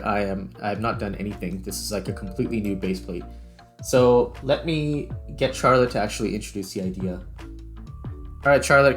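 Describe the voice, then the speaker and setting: Man casually narrating a game-making tutorial, music behind. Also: mid-range voice. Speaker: game developer hosting a tutorial series.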